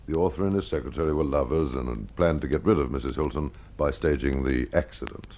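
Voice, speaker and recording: A man with a low-pitched voice narrates a detective mystery in the style of old-time radio. He is a measured, dramatic storyteller who speaks directly to the listener as the programme's host. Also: darkish tone.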